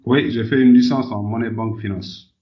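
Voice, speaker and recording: A man's voice: mid-range voice, fairly even intonation, normal speed, lo-fi sound. A man from Senegal answering conversationally as an interview guest, often repeating words as he searches for phrasing. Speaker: podcast guest, entrepreneur.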